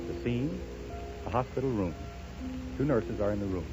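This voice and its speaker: A man's voice: fairly low pitch, lively intonation, normal speed, over music. A man hosting a 1930s radio show, giving a scripted introduction in a formal, grand announcing manner. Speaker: radio program host. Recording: muffled 1930s radio broadcast.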